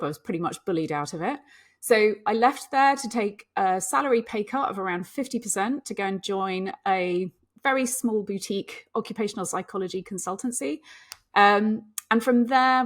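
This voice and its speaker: A middle-aged woman who grew up in Hong Kong, a guest occupational psychologist, speaking frankly in a podcast interview with dry asides. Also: medium pitch.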